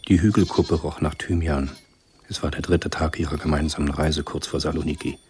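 A man narrating a scripted German radio play in a measured, sober storytelling manner. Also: rumbling low, steady tone, a little mellow, slight background noise.

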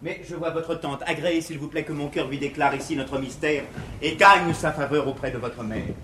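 A high-pitched, earnest young gentleman lover, played by a man, declaims classical comedy with formal emphasis and some exasperation. The 1950s theatre recording is very reverberant, with faint hiss. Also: moderate pace, natural voice. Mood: animated, scornful. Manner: declamatory, formal, emphatic delivery of rhymed verse. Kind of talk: 1950s French classical stage comedy acting in verse.

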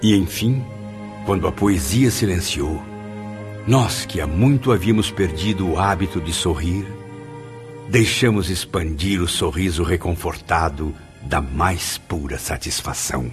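A man's voice: low voice, measured pace. A man narrating a radio drama in a solemn, dramatic way. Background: music.

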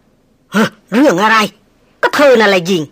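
A headstrong young woman doctor, as a narrator puts her on, pitched up high and loudly insistent. The reading voice is bright, recorded dry and close. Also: animated, measured pace, no room sound. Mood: refusing. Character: stubborn young woman doctor refusing to shoot.

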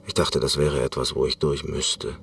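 An actor playing a world-weary, disillusioned former concert performer, brooding and gravelly, in a radio play monologue. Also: male voice, a bass voice, level tone, normal speed. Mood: weary, bitter. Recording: distant mic, music behind.

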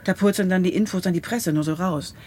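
A woman's voice: lowish voice, fast, a little mellow, a little hiss or hum, close to an everyday voice. A woman playing a bitter, sarcastic university researcher in a naturalistic radio drama, with a dry, aggrieved manner.

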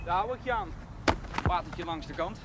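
A cheeky, scornful working man in a 1970s TV drama. He is blunt and mocking in a high voice, over clear outdoor noise. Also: wildly swooping pitch, normal speed, heavily acted. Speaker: actor in a television drama. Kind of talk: naturalistic 1970s television drama acting. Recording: noisy outdoor 1970s television soundtrack.

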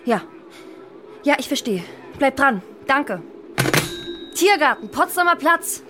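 A woman's voice: high voice, sing-song, slow, noisy background, heavily acted. An actress playing a sober, sceptical female police colleague in a German radio drama. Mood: businesslike.

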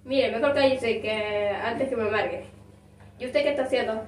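A woman's voice: a little high, moderate pace, natural voice. A woman playing an indignant, bossy bank customer in a comedy skit, scolding in an exasperated way. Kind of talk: amateur comedy skit acting. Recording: very noisy echoey room, distant mic.

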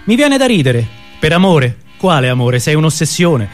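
A man performing a dramatic radio monologue in halting, theatrical fragments. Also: on the high side, big pitch swings. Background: music.

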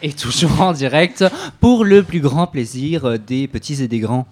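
A man's voice: mid-range voice, unhurried. A man hosting a live community radio talk show, playful and hesitant as he interviews a guest. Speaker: radio host.

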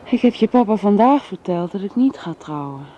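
An actress playing an affectionate young governess talking soothingly to a small child in a radio drama. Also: female voice, medium pitch, expressive, normal speed, mellow, muffled recording, slight background noise, natural voice. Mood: affectionate, playful.

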